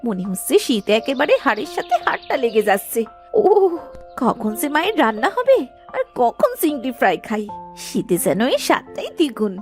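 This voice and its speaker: Give this greedy young cartoon daughter, played by a woman, a high, very animated voice. She whines and exclaims petulantly, always hungry. Light music runs underneath. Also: brisk. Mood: delighted craving. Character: greedy, whiny young daughter obsessed with food. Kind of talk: Bengali children's cartoon dubbing.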